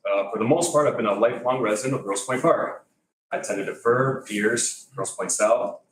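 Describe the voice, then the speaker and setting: A man's voice: medium pitch, moderate pace. A man from Greece in his forties giving a prepared public comment at a city meeting, firm and a little wry. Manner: wry, firm, reads from notes. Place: council chamber. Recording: echoey chamber, distant mic.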